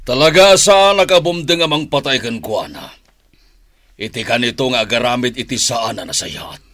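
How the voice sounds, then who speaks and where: Ruthless, swaggering crime boss, widely swinging delivery. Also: male voice, medium pitch, animated, normal speed, pushed and bright, no room sound. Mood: menacing. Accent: Northern Philippine (Ilocano). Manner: domineering, emphatic. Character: ruthless, boastful male crime syndicate boss. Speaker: radio drama actor. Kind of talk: radio drama acting.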